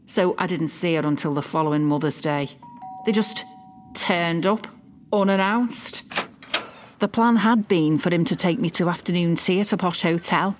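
Low-voiced, smugly possessive mother acted by a woman. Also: moderate pace, faint music behind, natural voice. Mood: indignant, possessive. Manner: confiding, smug, mock-innocent. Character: possessive middle-aged mother, sly, smugly self-justifying, quietly malicious. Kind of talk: dramatic monologue, confiding fiction podcast narration.

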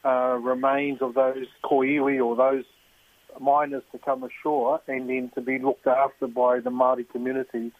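A man, a trust manager, answering interview questions in a thoughtful, halting way. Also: medium pitch, flat delivery, dry recording. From New Zealand. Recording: tinny phone line.

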